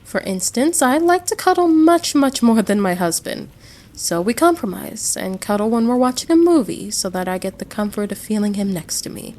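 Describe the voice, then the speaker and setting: A woman playing a caring, motherly American wife in a roleplay audio drama, gentle and reassuring, full of endearments. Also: medium pitch, animated, normal speed, close to an everyday voice. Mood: affectionate.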